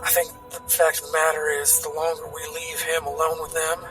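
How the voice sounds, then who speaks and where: A man playing a weary, battered, grimly pragmatic survivor in tabletop roleplay, speaking haltingly in a strained voice. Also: on the high side, completely flat delivery, far from the mic, music in the background, close to an everyday voice, poor audio. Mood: grave, strained. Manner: halting, hesitant, repeating words.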